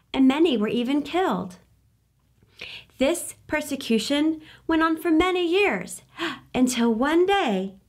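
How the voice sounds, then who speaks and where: A middle-aged woman hosting a children's read-aloud, speaking expressively and emphatically with dramatic pauses. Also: a little high, a little hiss or hum. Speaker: children's storytime host.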